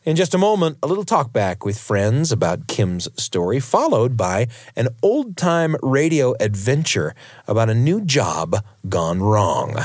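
A man's voice: medium pitch, big pitch swings, measured pace, no echo, clean studio sound. An American man hosting a radio show, reading scripted links and speaking directly to listeners. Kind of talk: scripted narration, presenting to listeners. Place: radio studio.

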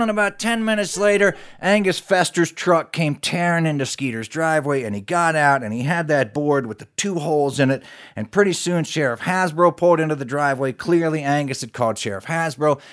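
A man with a slightly high voice performs live as a storyteller. He spins a tall tale in a folksy, conversational manner, turning to his listeners with animated asides. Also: brisk, clean tone. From West Virginia, United States. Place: recorded live in a studio.

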